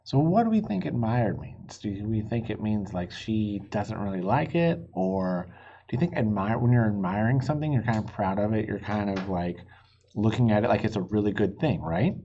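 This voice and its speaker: Husky guy reading a kids book out loud, slow and encouraging, really up and down, slightly dull audio. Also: on the low side, expressive. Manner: encouraging, pausing to explain and ask questions. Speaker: children's story reader. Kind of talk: animated picture-book read-aloud to children.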